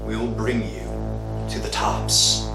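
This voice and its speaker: A man's voice: lowish voice, steady tone, slow, vocal fry, reverberant, far from the mic, loud music behind the voice, low-quality recording. A man narrating and hosting an old-time radio horror show in a theatrical, ominous, scripted manner. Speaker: horror anthology host and narrator.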